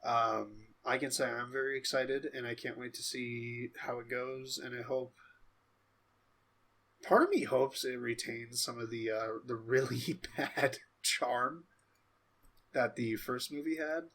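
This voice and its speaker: A man with a mid-pitched voice talks at an unhurried, slow pace. He sounds like a podcast co-host in a casual discussion of pop culture. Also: steady tone, fairly bright tone.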